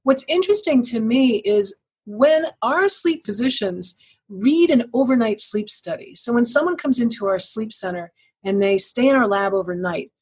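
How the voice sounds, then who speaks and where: A woman guest giving articulate, measured explanations as a sleep clinician. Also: on the high side, animated, a little mellow, breathy edge, low-quality recording. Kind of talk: explanatory interview answers.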